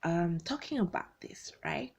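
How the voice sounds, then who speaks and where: A woman's voice: lowish voice, lively intonation, measured pace, breathy edge. A woman in her late twenties, from Belgium and born in Congo, talking unscripted to online followers in a conversational way, often rephrasing and posing questions. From born in Congo, grew up in Belgium, moved to the UK as a teenager. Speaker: video host speaking to followers. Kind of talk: unscripted personal talk to an online audience.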